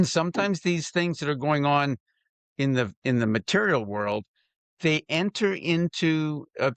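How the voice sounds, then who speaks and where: A man hosting a podcast, interviewing conversationally with careful, halting clarifying questions. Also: medium pitch, vocal fry, no echo.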